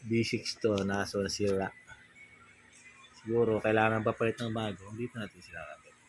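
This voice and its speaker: A Filipino man giving a casual hands-on vlog demonstration in informal, halting, piecemeal remarks, as someone showing how to install a product. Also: fairly low pitch, fairly even intonation. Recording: clearly noisy ambient background.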